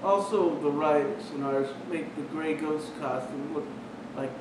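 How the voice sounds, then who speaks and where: A man casually reviewing a cartoon episode, retelling the plot in a rambling way with restarts. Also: moderate pace. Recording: very echoey, distant from mic, noisy with rumble.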